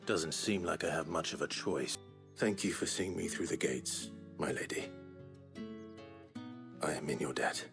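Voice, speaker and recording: A brooding British warrior in a cinematic game. His voice is extremely deep, and he talks in a terse, guarded, weary manner over clearly audible music. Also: unhurried, pauses often, full character voice. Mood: wary, reluctant. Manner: terse, grave, restrained. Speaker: voice actor in a video game. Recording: music behind the voice. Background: guitar music.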